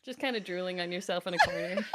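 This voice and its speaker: A woman's voice: medium pitch, heavy background noise. Cheerful woman in casual chat. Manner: cheerful, self-deprecating. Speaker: voice actor, guest.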